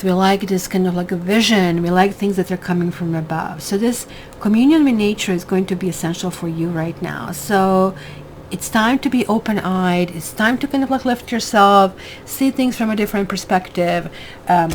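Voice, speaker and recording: A woman's voice: medium pitch, a little hiss or hum, low-quality recording. A woman from Croatia with a Croatian accent, giving a rambling, casual tarot reading full of fillers and tag questions. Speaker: tarot reader.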